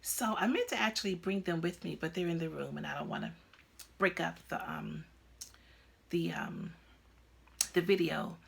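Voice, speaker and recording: A woman's voice: on the low side, expressive, moderate pace, vocal fry, pauses often, slightly rough. A woman in her fifties hosting a crafting podcast, chatting casually at home with lots of ums and restarts. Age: 50s. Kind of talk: casual chat to camera. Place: at home, indoors.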